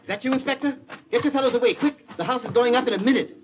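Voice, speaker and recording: An actor playing a flippant, suave British gentleman detective in the style of 1940s radio drama. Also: medium pitch, lively intonation, brisk, close to an everyday voice. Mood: urgent, brisk. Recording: muffled, noisy vintage radio recording.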